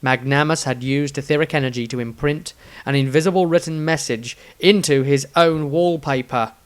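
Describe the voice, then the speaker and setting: A man narrates a scripted fantasy story. His voice has an average male pitch. He reads written prose in the role of storyteller. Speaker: author and narrator.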